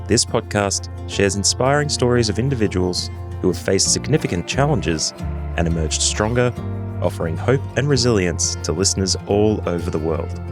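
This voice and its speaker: Low-voiced male announcer over guitar music. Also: moderate pace. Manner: smooth, even announcer delivery. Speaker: show announcer. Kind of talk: scripted podcast intro. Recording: intro with guitar music underneath.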